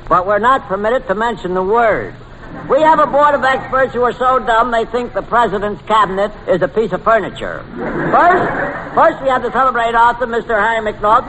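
A man's voice: very high voice, pushed and bright, exaggerated voice. An actor playing a wisecracking male quizmaster, announcing in a 1940s radio comedy style. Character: wisecracking male quizmaster presenting a panel of dunces. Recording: very noisy 1940s radio recording.

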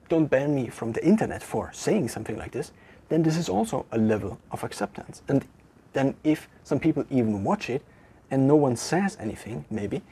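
A German-accented man talks alone to a camera in an empty room, working through his thoughts in a loose, conversational way. His voice is strongly husky, and he often stops mid-phrase to restart or correct himself. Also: mid-range voice, dark tone. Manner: thinking aloud, halting with restarts and self-corrections. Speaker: vlogger. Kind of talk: casual reflective monologue to camera.

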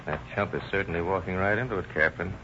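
An actor playing a confident, dryly knowing police lieutenant with a brisk, matter-of-fact manner in old-time radio crime drama. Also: male voice, low voice, fairly even intonation. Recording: muffled, noisy old radio recording.

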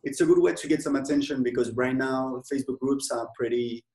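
A man with a French accent, a software company owner speaking in a casual interview, hesitant, with many fillers and restarts. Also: medium pitch, fairly even intonation, fairly quick, echoey room. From France.

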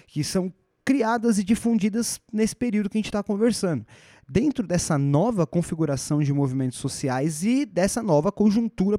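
Mellow-voiced Brazilian man explaining history. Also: on the high side, no room sound, studio quality. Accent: Brazilian Portuguese. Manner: thoughtful, restarts phrases, rhetorical asides. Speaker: podcast host.

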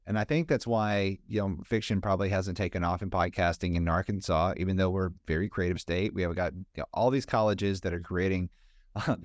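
A man's voice: sits low, dry recording, studio quality. An American man hosting a conversational interview, speaking in an earnest, encouraging and thoughtful way. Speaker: podcast host interviewing a guest.

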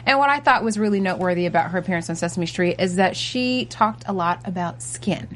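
A woman's voice: fairly low pitch, fast talker, slight background noise. A woman hosting a casual entertainment talk show, chatting through celebrity news and introducing a guest. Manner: chatty, upbeat, reads news items.

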